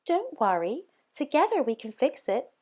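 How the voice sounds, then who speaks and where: A woman's voice: high voice, sing-song, normal speed, darkish tone, pitched above the performer's own voice. A woman voicing a kind, curious, eager young storybook princess in an exclamatory manner. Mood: reassuring.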